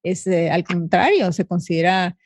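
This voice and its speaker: A woman co-hosting a radio panel, talking thoughtfully about faith with many pauses and fillers. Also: lowish voice, a little mellow, dry recording, studio quality. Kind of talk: reflective faith discussion.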